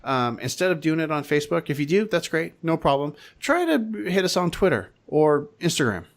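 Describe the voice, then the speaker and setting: A man's voice: a little high, very animated, fairly quick. A man hosting a podcast in an informal, wry, rambling way, chatting casually and reading out plugs. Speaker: podcast host.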